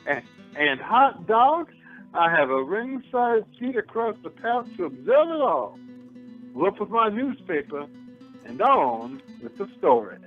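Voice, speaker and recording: Man playing a high, gossipy, theatrical storyteller. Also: big pitch swings, unhurried, full character voice. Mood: intrigued. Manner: theatrical, exclamatory, conspiratorial. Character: chatty, gossipy male park-bench narrator. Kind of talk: radio play narration. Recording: tinny narrow-band audio, faint music.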